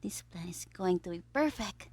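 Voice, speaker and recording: A woman's voice: fairly low pitch, animated, normal speed, a little mellow, husky. A woman playing a smug, theatrical young supervillain who schemes playfully in an audio roleplay diary. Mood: smug scheming. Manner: theatrical, playful, self-satisfied, drifting into conspiratorial whispers.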